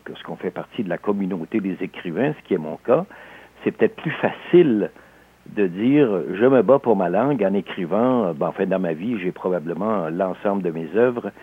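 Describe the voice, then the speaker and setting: An older man from Alsace with a Québécois accent, a novelist speaking as an interview guest in a measured, halting way with many hesitations. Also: low voice, husky, narrow, tinny audio. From Alsace, France. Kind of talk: reflective interview talk.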